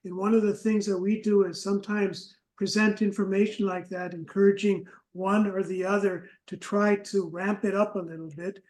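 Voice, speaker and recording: A male instructor moderates a classroom, briskly and upbeat, his voice sitting fairly high for a man. The sound carries the echo of the room around him. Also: little pitch movement, a little mellow. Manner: upbeat, brisk, keeps things moving.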